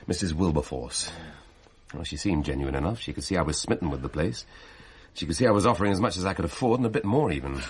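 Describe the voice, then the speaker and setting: A man's voice: deep voice, animated, fast. An actor playing an exasperated, stubborn middle-aged British father in a radio play, colloquial, wry and defensive. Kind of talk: naturalistic radio drama acting.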